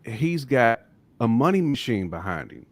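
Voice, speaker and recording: A man's voice: mid-range voice, big pitch swings, moderate pace, no room sound. An American man in a casual, opinionated podcast discussion, speaking emphatically and repeating his points. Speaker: music podcast panelist.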